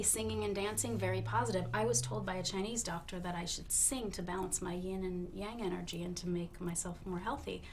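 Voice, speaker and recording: Deadpan woman talk show host. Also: medium pitch, creaky, a little hiss or hum. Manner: deadpan, mock-serious, polite.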